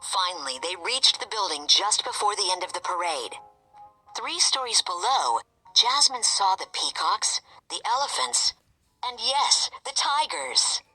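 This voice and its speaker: Bright, animated woman reading a storybook. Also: medium pitch, a little slow, soft background music, low-quality recording. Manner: animated, expressive. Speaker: storybook reader. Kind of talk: scripted children's story narration.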